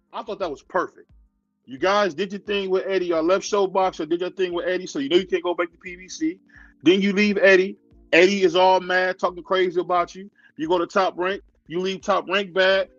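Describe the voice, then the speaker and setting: High-voiced man hosting a heated debate. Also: fairly even intonation, a little fast, fairly bright tone, dry recording, dull audio, faint music behind. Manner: keeps cutting in with repeated "hold on". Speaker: show host. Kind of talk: heated live-stream debate.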